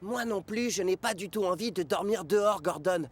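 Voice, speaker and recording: A vain cartoon engine, pitched high. He is prissy and fretful about his fine looks. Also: moderate pace. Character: vain, prissy male locomotive proud of his paintwork. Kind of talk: French-dubbed children's cartoon acting.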